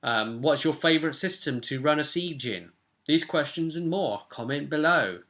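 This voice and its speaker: Bright-voiced young man hosting casually. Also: fairly high pitch, lively intonation, moderate pace. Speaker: host and game master. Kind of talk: casual chat about a tabletop game session. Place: small home room, indoors. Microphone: webcam at arm's length.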